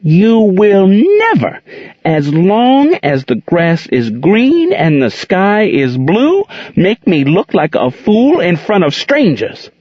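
A man voicing a stern older aunt who scolds slowly and explosively and turns poetic when angry. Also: on the low side, big pitch swings, breathy edge, no echo. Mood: furious. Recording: dull lo-fi sound.

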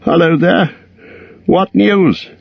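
A man's voice: lowish voice, lively intonation, a little slow, creaky, husky, no room sound, voice pushed up, exaggerated voice. A man voicing a small, anxious male hobbit with a shaky, fretful manner. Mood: calling. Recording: dull lo-fi recording.

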